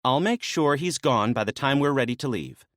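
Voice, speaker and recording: A man voicing a sharp-tongued, bossy female maenad with a dry, mocking manner. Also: mid-range voice, animated, moderate pace, no echo, pitched above the performer's own voice, close to an everyday voice. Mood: sarcastic.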